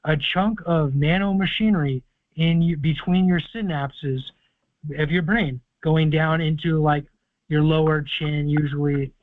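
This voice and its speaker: Male call-in host, higher voice, dry phone-line sound, confident long explanations. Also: fairly even intonation, unhurried, dry recording. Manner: confident, explaining at length with fillers. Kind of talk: casual call-in advice talk. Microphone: phone or conference call. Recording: tinny conference phone line.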